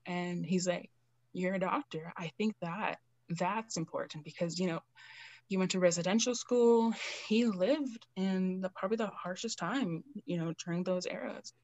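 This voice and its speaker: A woman's voice: lowish voice, dry recording, highs cut off. A young woman from Canada, a Cree educator, speaking in an earnest, soothing way and telling personal stories with casual fillers in an interview. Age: young adult. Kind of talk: reflective conversational interview.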